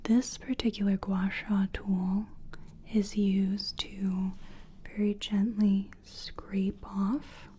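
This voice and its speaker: Slow, breathy whispering woman, ASMR. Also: medium pitch, little pitch movement. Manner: soothing, gentle, deliberate. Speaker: ASMR creator playing a soul-cleansing practitioner. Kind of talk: soft-spoken ASMR personal attention roleplay.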